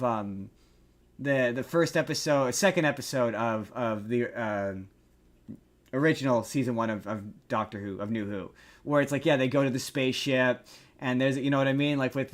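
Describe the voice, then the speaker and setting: A man co-hosting a podcast, chatting casually and critically about pop culture, sweary and given to mocking imitations. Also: mid-range voice. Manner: sweary, opinionated, mimics others mockingly. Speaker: podcast co-host.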